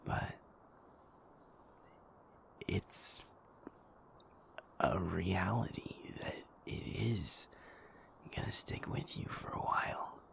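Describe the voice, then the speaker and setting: Man playing a whispering, creaky, gentle male werewolf. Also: full character voice. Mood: soothing, gentle. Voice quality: breathy, creaky low whisper. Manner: soothing, halting, reassuring delivery. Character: gentle, patient male werewolf comforting a sleepless partner. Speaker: audio roleplay performer. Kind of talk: intimate whispered audio roleplay.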